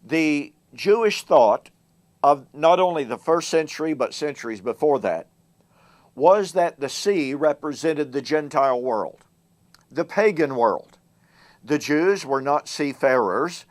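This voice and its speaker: A man hosting a Bible teaching show. He speaks in a measured way, slowing down and growing louder to stress key words. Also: on the high side, expressive. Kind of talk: solo Bible teaching talk to camera.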